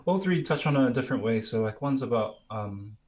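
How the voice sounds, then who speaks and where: A man speaking as a musician guest in a casual, reflective interview, candid and thoughtful, with halting phrasing. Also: mid-range voice, animated, over a noisy crowd.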